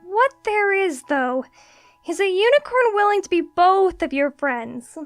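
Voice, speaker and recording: A woman's voice: very high-pitched, animated, unhurried, faint music behind, heavily acted. A woman voicing an exasperated, battered female unicorn in a cartoon-style fan audio drama, weary but earnest. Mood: earnest, conciliatory.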